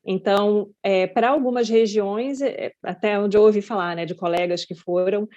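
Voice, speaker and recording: A woman's voice: medium pitch, fairly even intonation, normal speed, warm tone. A Brazilian woman hosting an interview, asking long, chatty questions. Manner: chatty, rambling questions with frequent "né". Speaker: podcast host. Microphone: video call. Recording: slightly dull lo-fi audio.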